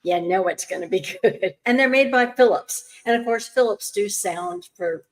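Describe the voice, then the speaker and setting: A woman's voice: on the high side, sing-song, normal speed, creaky. Chatty woman co-host. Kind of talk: casual livestream chat.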